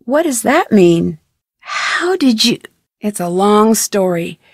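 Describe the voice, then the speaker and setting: An American actress playing a curious, polite, plucky young woman detective in a video game, speaking scripted lines in a clear, earnest way. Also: medium pitch, big pitch swings, takes it slowly, no room sound, studio quality, exaggerated voice. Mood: curious, friendly.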